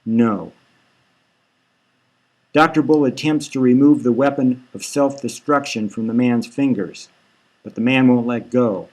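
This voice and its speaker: A man's voice: medium pitch, unhurried, long pauses, darkish tone, low-quality recording. A man reading his own novel aloud as scripted audiobook narration.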